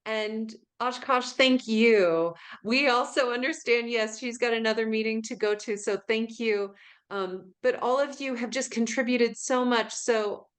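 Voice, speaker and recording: A woman's voice: on the high side, measured pace, a bit bright. Upbeat, gracious woman hosting a webinar. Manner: upbeat and gracious, thanking people. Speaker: webinar host. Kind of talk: closing remarks. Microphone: video call.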